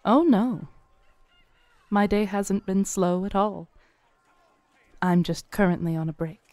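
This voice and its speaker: A young woman playing a fortune teller at a fair in a scripted roleplay audio, with a smooth voice and a soft, coaxing, gently teasing manner, close to the mic. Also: mid-range voice, slow, no echo.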